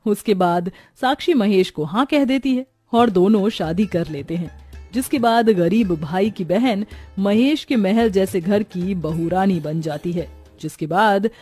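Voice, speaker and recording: A woman narrates a cartoon moral story from a script. Her voice is medium in pitch. The recording is dry, with music playing underneath. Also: unhurried, a little mellow, husky, no echo.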